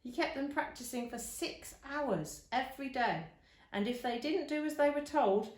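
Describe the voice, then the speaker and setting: A woman reading a children's storybook aloud with expressive, emphatic narration. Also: high voice, moderate pace. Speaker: storybook reader. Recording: roomy, echoing indoor sound.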